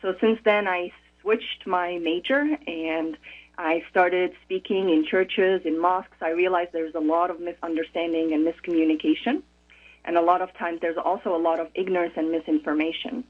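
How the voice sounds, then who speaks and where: A woman from Morocco, a conflict resolution expert, speaking as a radio interview guest in a thoughtful, measured way with frequent pauses. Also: on the low side, fairly even intonation. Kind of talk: radio interview over the phone. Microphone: telephone. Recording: tinny phone line.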